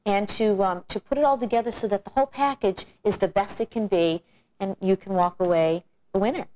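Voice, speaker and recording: A woman's voice: mid-range voice, warm tone, low-quality recording. Encouraging middle-aged woman coaching pageant hopefuls. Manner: measured, encouraging advice with fillers. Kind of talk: instructional video presentation.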